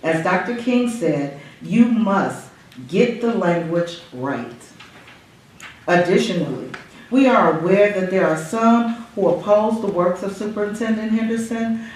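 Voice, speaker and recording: A woman reading a formal prepared statement at a school board meeting, in a solemn, measured, preacherly cadence that rises for emphasis. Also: mid-range voice, darkish tone. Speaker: public commenter reading a statement. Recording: echoey room, voice far from mic.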